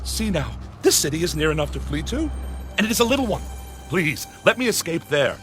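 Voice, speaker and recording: Biblical radio-play role: urgent, deferential pleader, imploring and emphatic, with a very animated, forceful delivery. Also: male voice, fairly high pitch, fairly quick, soft background music. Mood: anxious pleading. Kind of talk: dramatized audio Bible acting.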